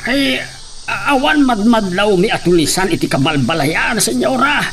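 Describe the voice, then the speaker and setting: An older, humble farmhand played by a man in a radio drama, deferential but unbending. His pitch swings widely and sits a little high, with faint noise in the background. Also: animated, moderate pace, fairly bright tone. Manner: pleading, deferential.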